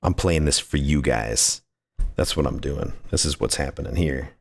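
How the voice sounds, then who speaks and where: Male streamer, low voice, casual game commentary, effusive and goofy, often repeats phrases. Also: normal speed, creaky. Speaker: livestreamer playing a game and greeting chat.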